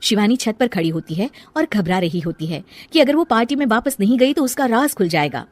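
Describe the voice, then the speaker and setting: High-voiced woman narrating a story. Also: very animated, a little fast, a little mellow, no echo. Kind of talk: scripted narration of cartoon moral story.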